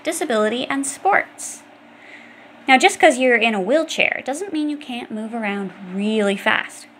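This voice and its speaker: A woman's voice: a little high, lively intonation, unhurried, a bit bright, slight background noise. A woman reading an educational children's book aloud, explaining things directly to young listeners. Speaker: audiobook reader.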